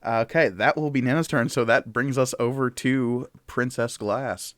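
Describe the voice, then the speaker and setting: A man serves as game master for a tabletop game, narrating in a conversational, vivid way. His pitch is middling and the sound is close and dry, with little room in it. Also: moderate pace, a bit bright, dry recording. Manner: conversational, vivid scene-setting.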